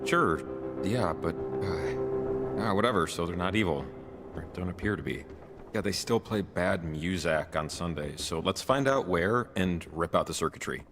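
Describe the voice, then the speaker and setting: An actor playing an affable, slightly timid sidekick in a video game, talking casually and naturally, with hesitant trailing-off phrases. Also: male voice, low voice, measured pace. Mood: hesitant, rambling. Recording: music clearly behind the voice.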